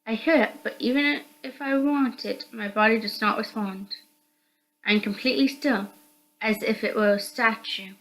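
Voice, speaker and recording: A woman's voice: a little high, normal speed, a bit bright, poor audio. A woman reading scripted first-person narration from a visual novel aloud.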